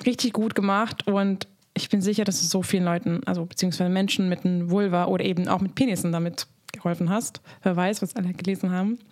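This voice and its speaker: A woman's voice: medium pitch, no room sound, clean studio sound. A young woman hosting a casual podcast over a video call. She is sick, her voice strained, and she talks in a bubbly, gushing, chatty way. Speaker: podcast host.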